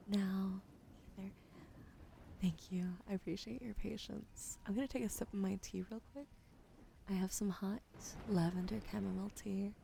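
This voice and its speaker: A woman hosting an ASMR livestream, chatting casually to viewers in a gentle, soft-spoken way that often drops into breathy whispers, close on a sensitive microphone. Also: mid-range voice, slight background noise.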